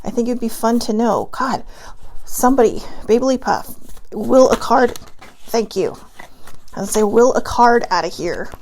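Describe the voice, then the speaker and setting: A woman's voice: fairly high pitch, animated, normal speed, pauses often, a little mellow, rough recording. A woman raised in Hawaii chatting casually from home, bubbly and giggly as she rambles through a card reading. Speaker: vlogger doing a card reading. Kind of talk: casual livestream chat.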